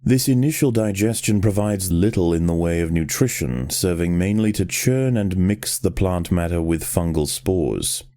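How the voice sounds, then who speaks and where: A man with a very deep, dark and relaxed voice reads scripted wildlife narration for a game. His delivery is measured, with solemn drama in the phrasing. The sound is close and dry, like a studio voice-over. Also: dry recording. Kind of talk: scripted nature documentary narration.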